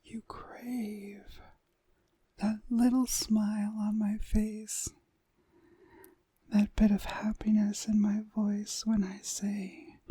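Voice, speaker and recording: A woman playing a dominant, seductive witch mistress in a whispered ASMR hypnosis roleplay, breathy, soothing and commanding. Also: mid-range voice, flat delivery, slow pace, mellow, no room sound.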